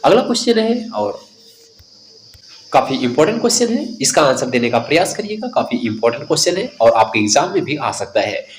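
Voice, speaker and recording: A man's voice: mid-range voice, very animated, fairly quick, slight background noise, poor audio. A man giving an instructive exam coaching lesson, reading out questions and explaining the answers directly to students.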